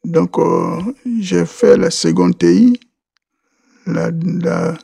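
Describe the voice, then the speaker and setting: A man from Côte d'Ivoire, a podcast guest answering interview questions, halting and often repeating words as he restarts. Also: on the high side, big pitch swings, gravelly, dark tone, breathy edge, dry recording, studio quality.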